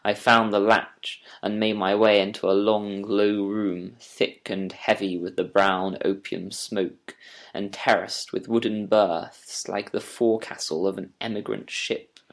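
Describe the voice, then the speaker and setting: A man narrating a first-person audiobook story with measured, literary, deliberate phrasing. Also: lowish voice, level tone. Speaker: audiobook narrator reading as Doctor Watson.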